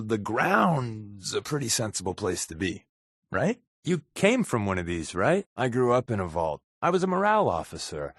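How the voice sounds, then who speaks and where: An American man playing a wisecracking, sly undercover agent in a video game, talking in casual quips with a deadpan, sardonic manner. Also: medium pitch, slightly rough, no room sound, studio quality. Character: wisecracking, sly adult male undercover agent, habitual fibber. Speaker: companion character in a video game.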